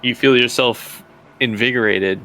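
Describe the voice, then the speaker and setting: A man's voice: medium pitch, steady tone, a little slow, sharp tone, slight background noise, rough recording. A man working as game master, improvising narration in a hesitant, conversational way, thinking aloud.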